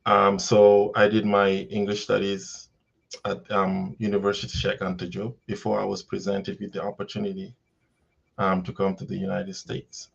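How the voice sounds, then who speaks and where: A man speaks slowly and thoughtfully, pausing on ums and restarting his sentences. His voice sits fairly low. The sound comes over a dull, lo-fi video-call line. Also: completely flat delivery, gravelly, husky. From Senegal. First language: Wolof. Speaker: teacher, guest.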